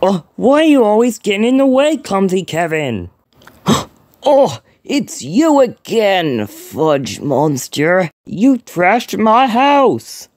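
A man voicing a grumpy, easily exasperated cartoon creature with a gravelly voice, drawing out words and swinging from mutters to outbursts. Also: high voice, big pitch swings, slow, dry recording, full character voice. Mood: irritated. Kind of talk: solo cartoon character voicelines.

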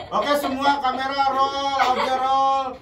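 A man in his thirties, deadpan and teasing in a casual joking chat, sitting on the floor of a small room. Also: very high-pitched, a little slow. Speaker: guest. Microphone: far camera. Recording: echoey room from far off, noisy.

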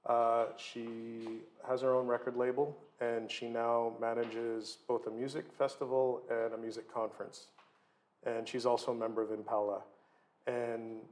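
A middle-aged man giving an informal lecture and introducing speakers in a halting, conversational way from a desk in a hall. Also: mid-range voice. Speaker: guest lecturer, record label co-owner. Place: wood-panelled lecture hall, seated at desk.

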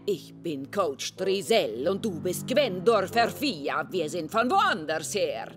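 A woman's voice: lowish voice, sing-song, takes it slowly, over music. A woman voicing a scheming, haughty villainess posing as a coach, gloating theatrically in a German cartoon dub.

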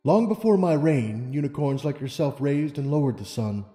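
A man's voice: medium pitch, animated, moderate pace, dark tone, natural voice. A man voicing a wise, serene female pony ruler in a storybook reading, with solemn, formal speech. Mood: earnest, stately. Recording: long reverb, music underneath.

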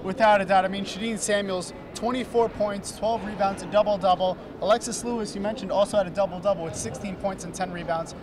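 Young co-host citing game stats. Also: male voice, sits high, fairly even intonation, fast talker, hardly any pauses, crowd noise. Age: young adult. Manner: stat-heavy, asks follow-up questions. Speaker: student sports co-host. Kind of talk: postgame basketball recap. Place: courtside in a large indoor arena. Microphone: handheld mic.

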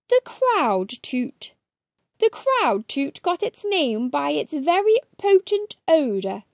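Female children's story reader: high voice, slow delivery, theatrical emphasis. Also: a little mellow, no echo, studio quality. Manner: theatrical, drawn-out emphasis on key words. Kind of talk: expressive read-aloud narration for children.

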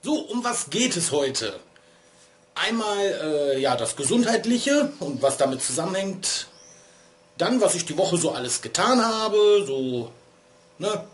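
A man's voice: sits high, big pitch swings, unhurried, soft background music. A man in his thirties vlogging from a small room at home. He rambles casually and pokes fun at himself, and he sounds a little stuffy from a cold. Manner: chatty, digressive, self-deprecating. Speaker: vlogger and streamer. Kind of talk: casual rambling vlog talk. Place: small room at home, lit by LED strips.

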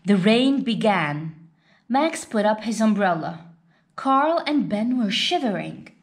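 A woman narrates a children's storybook with very varied, animated intonation. She takes her time over each phrase, reading slowly and deliberately in a mid-pitched voice. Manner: unhurried, deliberate read-aloud delivery. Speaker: storybook reader.

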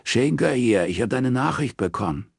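Guy doing a mouthy impatient london gangster type for an audiobook, pushed higher, slangy and blunt. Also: animated, moderate pace, creaky, breathy edge, no room sound, clean studio sound. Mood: defensive, gruff. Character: brusque, foul-mouthed, impatient London underworld man, old friend.

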